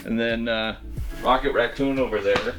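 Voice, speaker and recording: A man's voice: mid-range voice, steady tone, normal speed, fairly bright tone. A man in his forties chatting casually behind the scenes. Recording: music playing behind.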